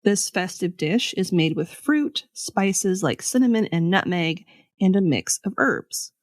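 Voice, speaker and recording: A woman's voice: lowish voice, big pitch swings, measured pace, dry recording. Woman storyteller, close dry sound. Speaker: podcast host. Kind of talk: scripted storytelling with casual asides.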